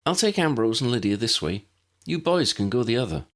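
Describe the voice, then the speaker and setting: A man voicing a brisk, questioning young witch in an audiobook, with pointed delivery. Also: medium pitch, very animated, pitched above the performer's own voice, natural voice. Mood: bossy. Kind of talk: audiobook character dialogue.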